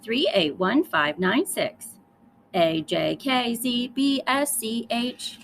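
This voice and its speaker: A defiant, jealous young girl from a storybook, acted out by a woman reader. She is bossy and makes emphatic, dramatic declarations with very lively pitch. There is faint noise behind the voice. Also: slow pace, exaggerated voice. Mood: contrary.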